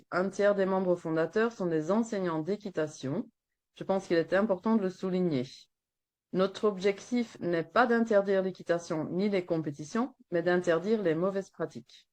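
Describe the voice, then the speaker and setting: A woman's voice: medium pitch, a little mellow. A woman with a Flemish accent, French not her first language, reading an earnest prepared presentation as a veterinarian in a webinar over a video call. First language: Flemish Dutch. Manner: earnest, reads steadily from a text.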